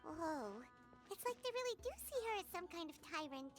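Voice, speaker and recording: Chirpy little companion sprite, very high and lively, blunt, for a game. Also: female voice, normal speed, music in the background.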